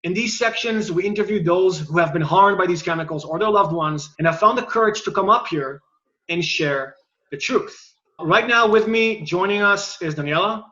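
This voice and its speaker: A man hosting an interview, speaking in an earnest, measured and supportive way. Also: high voice, bright, forceful tone, lo-fi sound. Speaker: host. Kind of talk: interview host.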